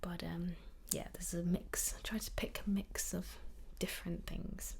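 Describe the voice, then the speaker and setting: Whispering woman, slow and even. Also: on the low side, creaky, slightly rough. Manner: mostly whispered, with frequent ums. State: recovering from illness, at times croaky. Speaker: ASMR creator. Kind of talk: soft-spoken ASMR chat.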